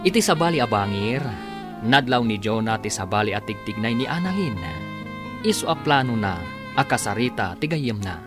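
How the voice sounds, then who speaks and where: Male Filipino drama announcer: swooping, lively intonation, mid pitch, guitar music bed behind. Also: normal speed. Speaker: narrator and announcer. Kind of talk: dramatic scripted narration for a radio serial.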